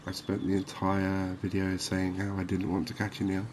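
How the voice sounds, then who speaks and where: A soft-spoken man giving unhurried, soothing ASMR commentary over a video game. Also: low voice, monotone, noisy background. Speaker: gaming video host.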